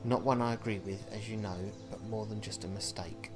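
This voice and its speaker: A man playing a video game, reading its text aloud and thinking out loud with casual asides. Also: on the low side, steady tone, a little fast, loud music behind the voice. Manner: thinking aloud between read passages. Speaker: video game player and commentator.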